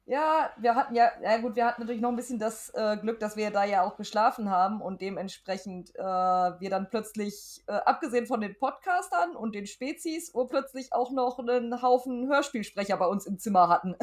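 A woman's voice: a little high, a little fast, hardly any pauses. A woman from Germany talking casually as a podcaster.

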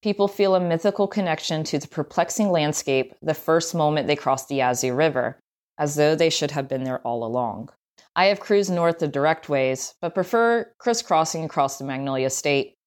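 Southern us lady reading a travel story, pretty low voice, scripted narration. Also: moderate pace. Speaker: writer, tour guide and historian reading own essay.

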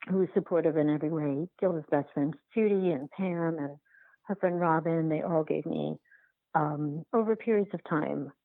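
Low, creaky woman on a phone line. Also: no echo. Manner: halting, reflective, circling back to rephrase. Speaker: documentary filmmaker being interviewed. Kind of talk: conversational interview answers.

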